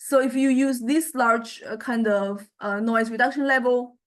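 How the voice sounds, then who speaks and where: A woman giving a step-by-step software tutorial as a lecturer, hesitant and halting, often checking in with listeners. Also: on the high side, fairly even intonation. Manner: hesitant, halting delivery with repeated check-ins.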